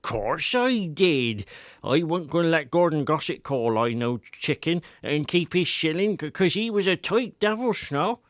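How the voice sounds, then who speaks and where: A man's voice: on the high side, sing-song, unhurried, dry recording, studio quality, voice pushed up. A man voicing an obstinate, very old male villager who boasts and reminisces in rustic Wiltshire dialect for an audio drama. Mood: gleeful reminiscing. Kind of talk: dramatised audiobook character acting.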